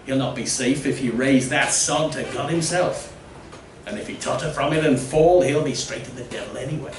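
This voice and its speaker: A man's voice: mid-range voice, normal speed, natural voice. A man voicing scornful, suspicious villagers in a storybook tale, declamatory and emphatic. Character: scornful, suspicious villagers gossiping about an outcast. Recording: roomy, distant miking with faint noise.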